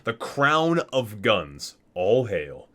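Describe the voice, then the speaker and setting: A man's voice: on the low side, big pitch swings, extremely slow, a bit bright. A man hosting an ASMR video, reading aloud from a guidebook with theatrical emphasis on titles and casual muttered asides.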